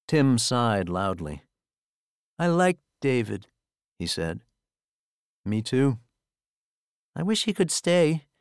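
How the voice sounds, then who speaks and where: A man narrating an audiobook, reading gentle, tender dialogue between characters. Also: lowish voice, clear, resonant tone, dry recording, clean studio sound. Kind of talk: scripted narration of a novel.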